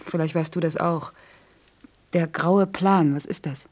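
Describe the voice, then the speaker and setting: A woman's voice: sits low, fairly even intonation, unhurried, darkish tone. An actress playing a guarded, independent single mother in a German radio play, curt and dry in manner. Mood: guarded, subdued.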